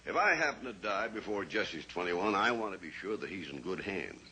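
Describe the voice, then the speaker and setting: An actor playing a blunt, stubborn rancher and father who talks gruffly and plainly in a 1950s radio drama. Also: sits low, expressive, a little fast, bright, forceful tone. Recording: old radio recording with faint hiss.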